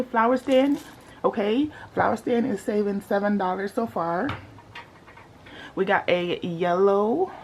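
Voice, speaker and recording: A young woman with a sleepy morning voice, chatting casually to her viewers. She sounds playful and animated. Faint noise hums behind her home recording. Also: mid-range voice. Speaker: budgeting vlogger.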